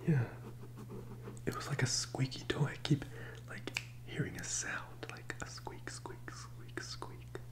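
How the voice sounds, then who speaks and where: Whispering man, soft ASMR psychic role-play. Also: medium pitch, slow pace. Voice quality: breathy whisper. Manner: hesitant, gentle, soothing delivery. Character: gentle, sympathetic scam psychic medium. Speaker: ASMR performer playing a psychic medium.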